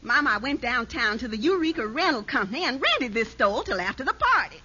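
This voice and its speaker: A woman playing a brisk, willful married daughter in 1950s radio comedy, with folksy, emphatic speech. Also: sits high, very animated, bright, forceful tone, husky, heavily acted. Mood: self-satisfied. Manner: folksy nonstandard grammar, emphatic. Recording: dull 1950s radio recording.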